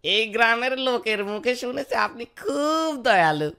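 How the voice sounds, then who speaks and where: A woman's voice: fairly high pitch, sing-song, normal speed, bright, forceful tone, clear, resonant tone, full character voice. A woman voicing a sly village thief in a cartoon, falsely humble and exaggerated in manner. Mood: pleading, fawning. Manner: exaggerated, muttering schemes and fawning pleas. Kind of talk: cartoon character acting.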